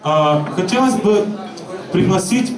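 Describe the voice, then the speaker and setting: A man's voice: high voice, animated, a little slow. A young man from the host band introducing a guest singer on a pub stage, hesitating with fillers. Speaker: band member hosting. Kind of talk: stage announcing and banter. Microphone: stand mic. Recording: echoey live room, distant mic, crowd noise.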